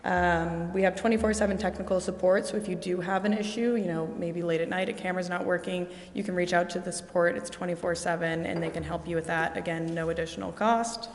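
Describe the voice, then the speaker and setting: A woman representing a vendor gives a sales presentation to a city council, walking through her slides in a steady, explanatory way with frequent ums. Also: lowish voice, brisk, hardly any pauses. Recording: echoey, reverberant council chamber with faint noise.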